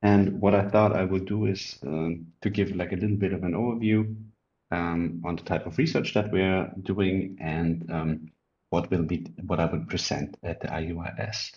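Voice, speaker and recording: Low gravelly Austrian-accented man. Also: fairly even intonation, moderate pace, darkish tone, lo-fi sound. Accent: Austrian German. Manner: earnest, with many ums and uhs. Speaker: immunology researcher, group leader. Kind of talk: self-introduction explaining research.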